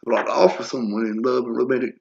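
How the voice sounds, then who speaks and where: A man's voice: mid-range voice, steady tone, rapid-fire, gravelly, a little mellow, slight background noise. A man in his forties talking bluntly and profanely in a rambling personal monologue, drifting into asides. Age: 40s. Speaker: vlogger. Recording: lo-fi muffled audio.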